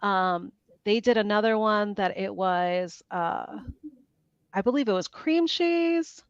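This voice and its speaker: A woman guest in a casual chat, telling a story in an animated way and quoting her own thoughts. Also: medium pitch, slow, clean tone, no room sound, dull audio.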